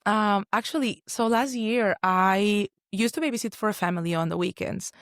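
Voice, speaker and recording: Dry-recorded woman from Mexico, mid-pitched. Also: gravelly, no echo. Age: 30s. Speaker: podcast guest, small business owner. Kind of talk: casual conversational interview.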